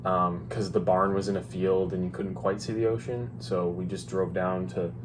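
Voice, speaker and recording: A young man co-hosts a casual storytime vlog in a low voice. He talks haltingly and restarts often, with faint noise in the background. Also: level tone. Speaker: vlog co-host. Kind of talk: casual storytime chat with a partner.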